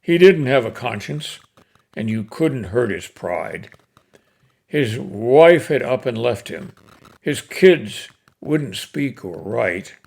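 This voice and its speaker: Older man, folksy storyteller, gravelly edge. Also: mid-range voice, very animated, a little mellow. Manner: folksy, unhurried, with pauses between phrases. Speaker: host reading cowboy poetry. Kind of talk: casual introduction and expressive poem recitation.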